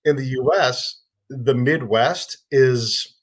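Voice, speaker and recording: A man's voice: medium pitch, takes it slowly. A man hosting a podcast, chatting casually and asking long, wandering questions full of examples. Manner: conversational, lists examples, asks long rambling questions. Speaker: podcast host. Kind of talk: casual interview chat.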